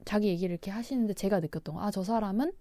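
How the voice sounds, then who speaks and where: A woman co-hosting a casual advice talk, speaking softly and empathetically and often affirming the others. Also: medium pitch, very fast, no room sound, clean studio sound. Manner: soft, empathetic, frequent affirmations.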